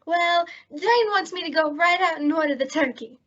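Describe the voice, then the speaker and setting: Actress as naive young woman, radio sitcom, earnest, piercingly high, bright, cheerful airhead, lo-fi sound. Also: normal speed. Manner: earnest, wide-eyed, literal-minded. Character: naive, dim-witted, good-hearted young woman, cheerful comic airhead. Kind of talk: old-time radio comedy acting.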